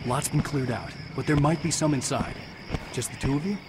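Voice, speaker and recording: Wary, high-pitched male lookout played by a man. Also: fairly quick, very noisy, natural voice. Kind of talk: video game acting. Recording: loud music and night ambience.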